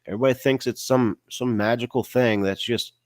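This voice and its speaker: A man's voice: mid-range voice, steady tone, brisk, no room sound, clean studio sound. A man with a stuffy, nasal voice from a cold, giving a casual motivational talk about mindset and business, often tagging on "right?" and "you know". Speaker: online business coach.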